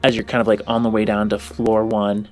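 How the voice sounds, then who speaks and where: Halting male game master over soft music. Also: on the low side, completely flat delivery. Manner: halting, rephrasing as he describes. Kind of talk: casual improvised tabletop game narration.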